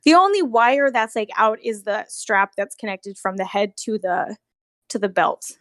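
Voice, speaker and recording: A woman telling work stories as a guest in a casual, animated, giggly chat with some swearing, on an amateur recording. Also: medium pitch, measured pace, no room sound. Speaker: guest, costumed theme park character performer. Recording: amateur recording with bad audio.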